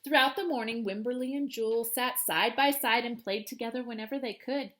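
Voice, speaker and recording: A woman teacher reading a children's storybook aloud with expressive, emphatic storytelling. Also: a little high, brisk.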